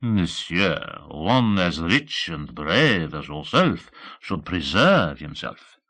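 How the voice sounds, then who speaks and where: An immense French hotel concierge, played by a male narrator, speaks with a French accent in a low-pitched storybook performance. He is pompous and florid, dignified and slyly flattering. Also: slow pace, no room sound, exaggerated voice.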